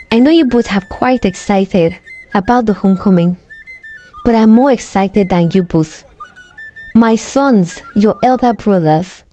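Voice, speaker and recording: A woman voicing an excited, doting village mother who instructs her grown children emphatically in a folktale. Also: fairly high pitch.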